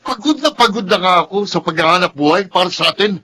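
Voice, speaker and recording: A man's voice: sits high, lively intonation, fast talker, pushed and bright. A man playing a weary, defensive young husband in an audio melodrama, exasperated, with a Filipino accent. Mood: angry, exasperated. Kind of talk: melodramatic audio drama acting.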